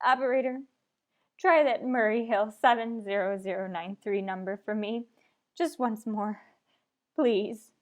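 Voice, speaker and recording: Nervous, demanding wife played by a woman for a retro radio thriller: high voice, slow dramatic delivery, overwrought. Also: steady tone, lightly acted. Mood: disbelieving. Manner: overwrought, imperious, melodramatic. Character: frantic, nervous, demanding married woman home alone.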